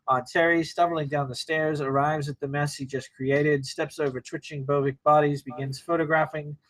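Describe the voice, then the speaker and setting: A man narrates the action of a screenplay at a table read. His voice sits a little high, and he delivers terse, clipped phrases in the present tense. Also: little pitch movement, moderate pace, fairly bright tone, low-quality recording. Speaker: narrator reading stage directions.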